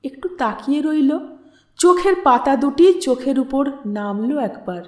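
High-voiced woman narrating slowly, roomy echo. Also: expressive, mellow. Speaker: audiobook narrator. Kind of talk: expressive literary story narration.

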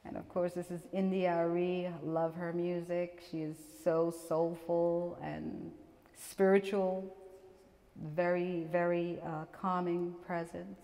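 A middle-aged woman from Guyana giving a relaxed, chatty talk about her portraits, laughing often and restarting her sentences. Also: fairly low pitch, takes it slowly. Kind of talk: gallery artist talk. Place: small gallery room with seated audience, indoors. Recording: long reverb from the room.

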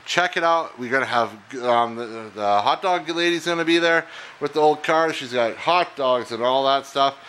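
A man, an auctioneer chatting casually and excitably as he walks through lots in a warehouse, recorded on a handheld camera mic. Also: mid-range voice, normal speed, smooth.

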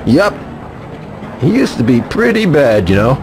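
An actor playing a chatty, helpful elderly shopkeeper in a video game, with a folksy, gossipy manner. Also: male voice, mid-range voice, very animated, measured pace, a little mellow, faint music behind, rough recording. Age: older. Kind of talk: English video game voice acting.

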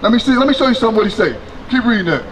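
Middle-aged male street preacher, high voice, handheld mic outdoors, light street noise. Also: lively intonation, rapid-fire, rough recording. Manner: loud, preaching with a handheld mic, questioning passersby. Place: city sidewalk outdoors by a fence.

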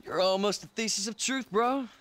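An actor playing a vain, laid-back celebrity cult devotee in a video game, breezy and self-satisfied bro talk. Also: male voice, high-pitched, moderate pace, fairly bright tone, no echo. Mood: breezy, gleeful. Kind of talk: video game cutscene acting.

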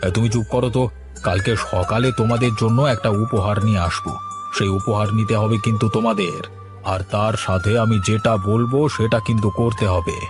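A man's voice: lowish voice, fairly even intonation, a little fast, lightly acted. A man voicing a benevolent, bossy older family guest in a cartoon, avuncular and authoritative. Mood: commanding, kindly. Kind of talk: cartoon voice acting. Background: music.

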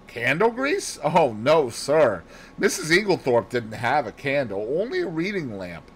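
A man's voice: fairly high pitch, big pitch swings, measured pace, slight background noise, pitched above the performer's own voice. A man voicing a nervous, deferential housemaid with a hesitant manner, as dialogue in an audiobook. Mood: earnest, certain.

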